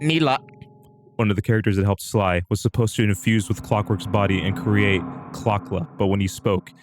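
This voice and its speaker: Male livestream narrator, fairly low register, reading amateur horror fiction aloud, slight noise behind. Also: moderate pace. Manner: breaks off into amused asides.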